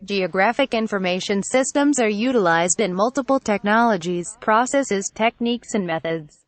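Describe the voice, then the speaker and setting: A woman's text-to-speech voice reading technical text aloud in a measured, impersonal manner. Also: mid-range voice, no room sound.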